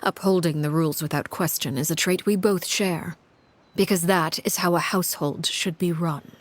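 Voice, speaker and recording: A woman voicing a cold, commanding crime-family matriarch in a game, speaking in a measured, formal, stern way. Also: fairly low pitch, fairly even intonation, natural voice. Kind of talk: dramatic video game voice acting.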